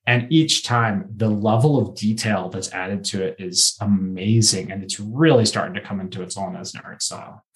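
A man's voice: on the low side, normal speed, hardly any pauses, low-quality recording. A man on a podcast panel, speaking casually and analytically in a thoughtful, articulate manner.

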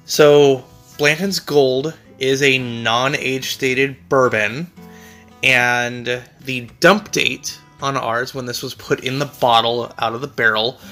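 A man's voice: mid-range voice, fairly even intonation, takes it slowly, sharp tone, soft background music. A man co-hosting a casual bourbon tasting chat, speaking in a dry, matter-of-fact and knowledgeable way. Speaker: co-host of a bourbon show.

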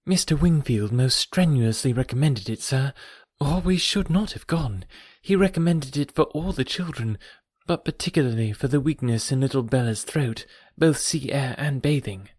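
A man doing a gentle, eager young mother. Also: medium pitch, expressive, moderate pace, breathy edge, no echo, clean studio sound. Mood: eager polite. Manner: eager, polite, softly raised. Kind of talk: audiobook character reading.

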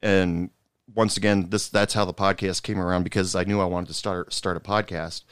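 A man hosting a podcast talks in a low, smooth voice that sits dry and close to the mic. He rambles a little, restarting and rewording his questions as he goes. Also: flat delivery, normal speed, a bit bright, no echo. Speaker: podcast host. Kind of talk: casual interview chat.

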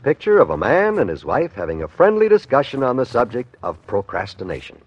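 A man's voice: mid-range voice, huge pitch swings, a little fast. An American man announcing a radio show in a 1950s broadcast style. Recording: dull vintage broadcast with loud music. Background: loud music.

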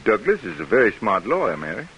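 An actor playing a genial, practical brother-in-law in a 1940s style radio drama. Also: male voice, fairly low pitch, sing-song, quick pace, fairly bright tone, muffled recording. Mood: probing, avuncular. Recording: noisy vintage radio recording.

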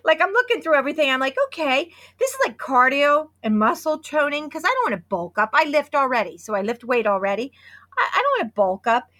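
A woman in her fifties co-hosting a podcast, chatty and excitable, hoarse from losing her voice. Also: sits high, quick pace. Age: 50s. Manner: chatty and excitable, with many restarts. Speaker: podcast co-host. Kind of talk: casual podcast chat with sponsor read.

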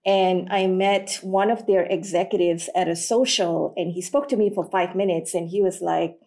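A woman's voice: lowish voice, fairly even intonation, moderate pace, darkish tone. A middle-aged woman, a guest candidly telling stories about her career in an interview. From Malaysia. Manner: candid, anecdotal, frequent fillers. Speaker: guest, sales executive. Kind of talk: storytelling in an interview.

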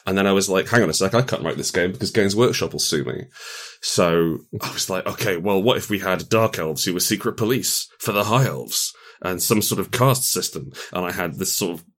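A man's voice: low voice, fairly quick, a bit bright. A man talking casually as a game designer being interviewed, rambling, with wry, self-deprecating humour. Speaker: game designer, podcast guest.

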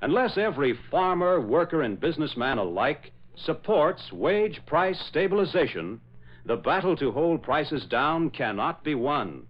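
Emphatic male vintage radio announcer, very lively pitch. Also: unhurried. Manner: emphatic, formal, dramatic delivery. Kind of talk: 1940s radio announcing, scripted narration. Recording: muffled old radio broadcast.

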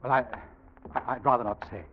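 Actor playing a smooth, stammering scheming suitor, old radio. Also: male voice, mid-range voice, fairly even intonation, a little hiss or hum, natural voice. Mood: feigned concern. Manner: halting, stammering, melodramatic. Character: deceitful, desperate foreign suitor turned killer, smooth-talking man. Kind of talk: 1940s radio drama acting. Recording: dull vintage radio recording.

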